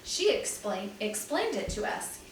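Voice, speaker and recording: A woman voicing a gentle, sensitive girl who speaks softly and earnestly. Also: mid-range voice, big pitch swings, a little slow, a little hiss or hum. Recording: echoey room, mic at a distance.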